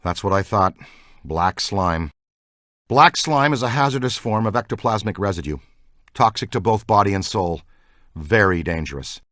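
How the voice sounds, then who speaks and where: An earnest, geeky ghost-hunting scientist for a video game. The pitch moves around in a lively way, eager and good-natured, and the recording is dry and close. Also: male voice, normal speed, no room sound. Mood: earnest, explaining. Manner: earnest, excitable, technical. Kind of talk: video game dialogue, battle shouts and banter.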